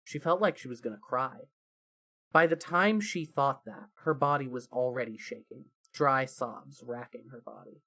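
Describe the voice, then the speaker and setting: A narrator reading scripted fan fiction as a dramatic story, with deliberate pauses. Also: mid-range voice, sharp tone.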